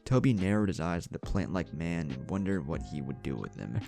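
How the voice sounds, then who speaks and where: A man's voice: low-pitched, big pitch swings, fairly quick, gravelly, a little mellow. A man reading a scripted story as a narrator, acting out the dialogue between passages. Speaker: narrator of fan fiction stories. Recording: music behind the voice. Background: background music.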